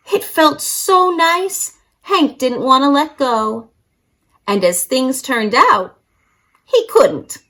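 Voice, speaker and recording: A woman's voice: sits high, sharp tone. A woman reading a picture book aloud expressively for young children, as a story-time reader.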